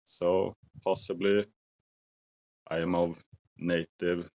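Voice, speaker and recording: Slow, deep-voiced Swedish man outdoors. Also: level tone, darkish tone. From Sweden. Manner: slow, thoughtful, searching for English words. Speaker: outdoor vlogger. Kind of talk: casual talk to camera. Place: forest outdoors at dusk.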